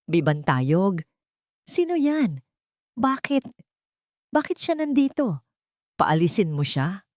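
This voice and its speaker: A woman voicing a frail, ailing elderly mother in a story, weak, halting and pleading. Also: mid-range voice, very animated, darkish tone, no echo, studio quality. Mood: feeble alarm.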